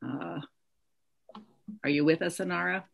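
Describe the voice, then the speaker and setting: A woman in her sixties hosts an online question session. Her voice has a strong gravelly creak, and she moderates in a casual way. She speaks over a video call from a room at home. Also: on the low side, fairly even intonation, measured pace, muffled recording. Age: 60s. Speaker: host, moderator. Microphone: video call computer mic.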